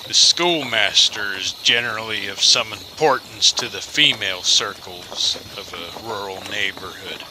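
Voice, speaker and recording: A man narrating an old tale for an audio drama in a measured, dramatic storybook style. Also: on the low side, noisy background.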